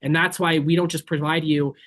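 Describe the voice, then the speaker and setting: A man from Kenya giving an informal, earnest and emphatic webinar sales pitch as a safari tour operator. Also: little pitch movement, fairly quick, a bit bright. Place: online video call.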